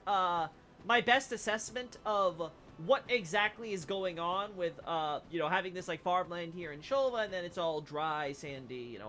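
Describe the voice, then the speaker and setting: A rambling streamer talks through game lore as they play, leaning on "you know" and "right?" at every turn. The voice is bright and forceful, with a faint hiss underneath. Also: mid-range voice. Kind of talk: casual game lore commentary while playing.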